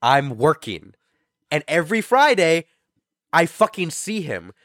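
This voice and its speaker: A man's voice: fairly high pitch, big pitch swings, measured pace, fairly bright tone, no room sound, clean studio sound. A man of about twenty-one, co-hosting a podcast and telling stories casually with slang, swearing and repeated phrases for emphasis. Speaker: podcast co-host. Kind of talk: casual chat, storytelling.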